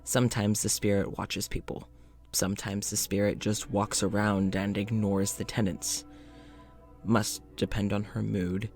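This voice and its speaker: A man playing a polite, uneasy scientist in an audio drama, speaking in a reflective, hesitant, conversational way, as if into a journal. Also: on the low side. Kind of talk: audio drama monologue and dialogue.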